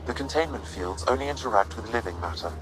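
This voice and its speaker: An actor voicing a precise, unemotional artificial intelligence in a video game, speaking in a measured, formal way. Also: male voice, fairly low pitch, expressive, noisy background, lightly acted. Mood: calm, clinical. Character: calm, precise ship artificial intelligence advising its human partner.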